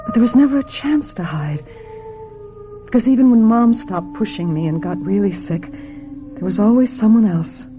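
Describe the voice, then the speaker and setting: A woman acts as a sensitive young American woman in a radio drama. She sounds wistful, plaintive and earnest, at a medium pitch. The sound is muffled, with faint music behind. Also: animated, normal speed, lightly acted. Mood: wistful, reflective. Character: wistful, sensitive young woman, reluctant beauty contestant turned actress. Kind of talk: radio drama acting and narration.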